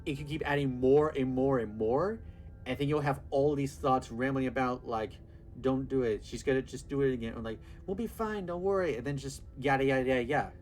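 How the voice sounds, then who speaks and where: A man's voice: mid-range voice, a little fast, a little mellow, faint music behind. A man casually commenting on a horror game he is playing, rambling and hesitant, with frequent fillers and laughter. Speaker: gameplay video host. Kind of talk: casual let's-play commentary.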